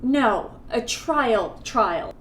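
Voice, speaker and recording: An actress playing a no-nonsense Wiccan lawyer, firm, dry and corrective, in a comedic audio drama. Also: female voice, on the high side, expressive, extremely slow, a bit bright, slight background noise, full character voice. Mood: exasperated. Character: no-nonsense Wiccan woman lawyer, polite but easily exasperated.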